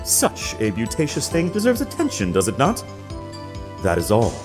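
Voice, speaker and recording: An actor playing a smug, affected detective agency boss on the witness stand in a video game drama, pompous and flowery. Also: male voice, mid-range voice, wildly swooping pitch, moderate pace, music in the background. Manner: pompous, flowery, self-satisfied.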